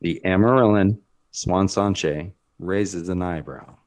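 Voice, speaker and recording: A man's voice: low-pitched, slow. A man reading scripted dramatic narration as the narrator of a screenplay.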